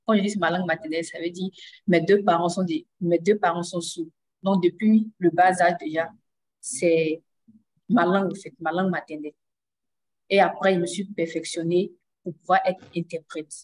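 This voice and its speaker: A woman sign language interpreter answering interview questions in a webinar, explaining in a calm, measured way. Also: lowish voice, rough recording.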